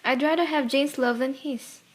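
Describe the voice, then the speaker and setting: A woman's voice: high voice, moderate pace, lightly acted. An actress playing a tender, secretive, wistful lady in a radio play. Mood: pleading, guilty.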